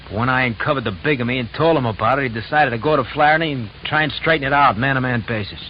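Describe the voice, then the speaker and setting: An actor playing a blunt, streetwise private operative in a vintage radio crime drama, speaking in a plain, colloquial way. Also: male voice, mid-range voice, very fast, natural voice. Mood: explaining. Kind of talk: old-time radio crime drama acting. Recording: dull, faintly noisy old radio recording.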